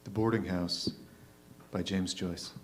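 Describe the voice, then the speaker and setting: A man reading a literary short story aloud in a measured, expressive narrating style. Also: low-pitched, slight background noise. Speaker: reader in a readers' theatre.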